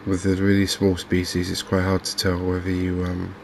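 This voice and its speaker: A man speaking softly and unhurriedly in an ASMR style, murmuring his way through video game commentary as a streamer. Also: low-pitched, completely flat delivery, vocal fry, background noise. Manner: soft-spoken, unhurried, murmuring to himself.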